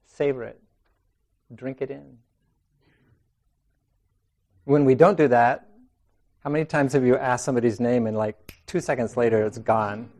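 A man leading a group discussion in a meeting room. He talks in a relaxed way, laughing often and restarting his sentences. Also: mid-range voice, unhurried, long pauses. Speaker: meditation group leader.

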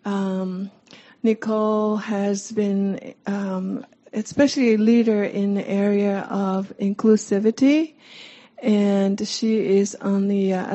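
A woman hosting a meditation evening, introducing the guest teacher with many pauses and ums. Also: medium pitch, warm tone, muffled recording. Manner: hesitant, many ums and uhs. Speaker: host introducing the speaker. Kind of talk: welcoming introduction.

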